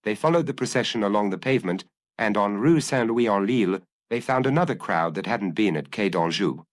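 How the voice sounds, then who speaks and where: A man narrating a detective story as an audiobook, in a measured, literary storytelling manner. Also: fairly low pitch, lively intonation, dry recording.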